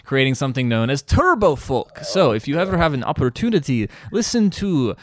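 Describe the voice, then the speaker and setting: A man from Bosnia with a mid-pitched voice talks casually as a podcast guest. The sound is dry and close, and he explains things at length, joking and wandering off on tangents with bits of crude slang. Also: very animated, a little fast, dry recording. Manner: jokey, digressive, crude slang.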